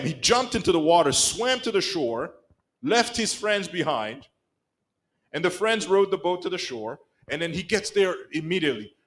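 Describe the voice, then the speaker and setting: A middle-aged man with a Rwandan accent preaching a sermon in a church sanctuary, telling the story with lively acted-out voices. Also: fairly high pitch. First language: Kinyarwanda. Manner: animated storytelling, acts out quoted lines. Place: church sanctuary, mid-sized room. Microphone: pulpit microphone, filmed by a far camera.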